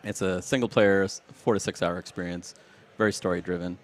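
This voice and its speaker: A man from Sri Lanka, a game developer, walking through a demo of his game at a live event in a halting, filler-heavy way. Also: deep voice, fairly even intonation. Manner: halting, many fillers and restarts. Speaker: game developer, studio founder. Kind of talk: casual demo walkthrough.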